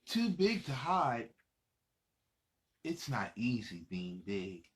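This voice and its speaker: A man hosts a children's story time in a slow, unhurried delivery. His pitch moves up and down in a very lively way as he reads and chats directly to the kids. The style is casual, with small asides between the lines of the book. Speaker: children's story reader and host. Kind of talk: casual read-aloud of picture books to children.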